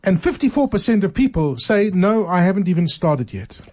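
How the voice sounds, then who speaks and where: A man hosting a radio call-in show from the studio, relaxed and loose, with frequent fillers. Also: high voice, moderate pace. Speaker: radio host.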